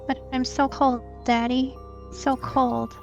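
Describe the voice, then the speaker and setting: Audio drama role: actress as meek, childlike vampire daughter, high-pitched and plaintive, muffled lo-fi sound over music. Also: female voice, little pitch movement, slow, vocal fry, full character voice. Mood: plaintive, lonely. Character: hungry, meek young vampire daughter, obedient and clinging. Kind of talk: dramatic audio theatre acting.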